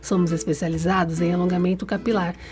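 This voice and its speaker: A woman's voice: fairly low pitch, flat delivery, fast. A woman reading a polished, upbeat commercial voice-over. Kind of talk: scripted commercial read. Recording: music bed behind.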